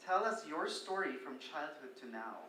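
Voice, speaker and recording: A man's voice: on the high side, expressive, normal speed, roomy sound, rough recording. A man serving as interviewer, asking pageant contestants questions in a friendly way, with playful asides.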